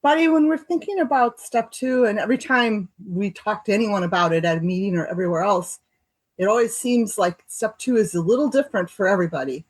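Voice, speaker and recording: A woman co-hosting a recovery podcast, talking thoughtfully and reading short passages aloud with slow pauses. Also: medium pitch, breathy edge, dry recording. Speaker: podcast co-host. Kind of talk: reflective recovery group talk.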